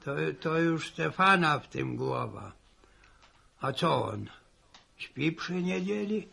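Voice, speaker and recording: A man's voice: on the high side, expressive, takes it slowly, vocal fry, bright, forceful tone, slightly rough, heavily acted. A man acting an old farm grandfather in a radio drama, grumbling yet peaceable, often stammering and repeating his words. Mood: folksy musing. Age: older. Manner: stammering repetitions, folksy sayings. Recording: faintly noisy 1960 radio recording.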